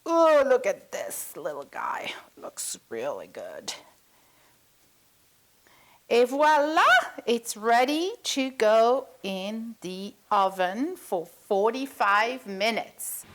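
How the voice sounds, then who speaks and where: A woman's voice: a little high, very animated, slow. A woman from Belgium, chatting through a recipe as a cooking show host. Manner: chatty, talks viewers through each step. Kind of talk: cooking demonstration.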